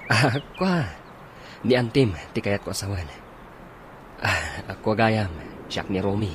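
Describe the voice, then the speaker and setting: A man's voice: fairly low pitch, animated, takes it slowly, background noise. A man playing a hesitant, attentive young boyfriend of an older woman in a radio drama.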